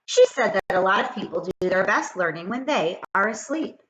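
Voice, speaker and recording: Animated woman reading to children, roomy sound. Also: medium pitch, normal speed, highs cut off. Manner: expressive, addresses children directly. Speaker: teacher reading aloud to children. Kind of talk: animated children's story read-aloud. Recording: roomy echo with faint noise.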